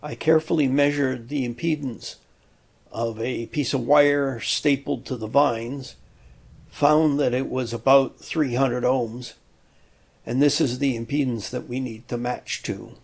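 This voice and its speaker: Slow-paced, creaky-voiced man explaining electronics. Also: mid-range voice, fairly even intonation, a little mellow. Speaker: presenter of an electronics tutorial. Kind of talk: explanatory technical narration.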